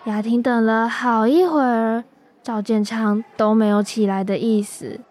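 A young female high school student giving scripted story narration for a radio drama. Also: fairly even intonation, measured pace, darkish tone.

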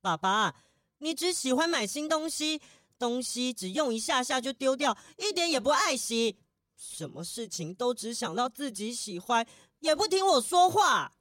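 A woman playing an earnest little leopard boy in a children's radio play, speaking in a childlike, plaintive manner. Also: a little high.

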